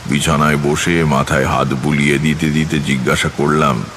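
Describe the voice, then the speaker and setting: A man narrating a scripted horror tale in the first person, in a measured, literary manner. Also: extremely low pitch, little pitch movement, background noise. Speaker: storyteller and lead narrator.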